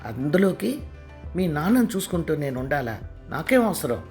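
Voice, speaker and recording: Brief: man putting on a demanding young wife in an audio drama; heated, high, scolding. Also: normal speed, darkish tone, soft background music, close to an everyday voice. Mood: angry.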